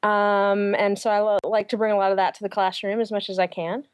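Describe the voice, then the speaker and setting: A woman's voice: medium pitch, flat delivery, a little fast, smooth, no echo. Southern US woman, teacher guest, easygoing, dry close sound. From Atlanta, Deep South US. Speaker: fifth-grade teacher. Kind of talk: casual interview guest.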